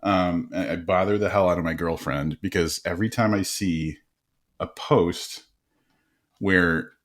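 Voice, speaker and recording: A man hosting an interview podcast, telling quick stories in a casual, animated way with frequent laughs and restarts. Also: low-pitched. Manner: animated storyteller, laughs often, many restarts. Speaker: podcast host.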